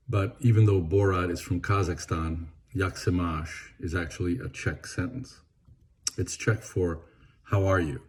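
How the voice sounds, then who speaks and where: An older man who grew up in Venezuela, delivering a measured, gently humorous eulogy and dropping his voice on sad words. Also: low-pitched, breathy edge. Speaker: eulogy speaker. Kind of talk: read eulogy.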